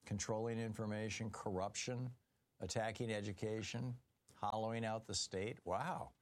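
A man's voice: lowish voice, fairly even intonation, normal speed. An American man speaking as the host of a radio call-in show. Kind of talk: live call-in talk and host-read ad. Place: radio studio.

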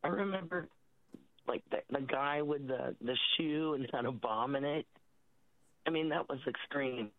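Over a narrow, tinny phone line comes an American woman with a very low, husky voice, still getting over a cold. She is a radio caller who rambles casually, halting as she searches for words. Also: no echo. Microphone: telephone.

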